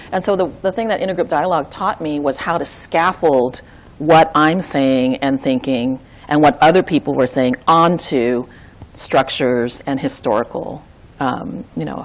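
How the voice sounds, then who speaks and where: A woman's voice: low voice, rough recording. A woman who trains dialogue facilitators, talking earnestly and reflectively, thinking aloud with restarts and frequent "right?". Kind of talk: reflective conversational talk.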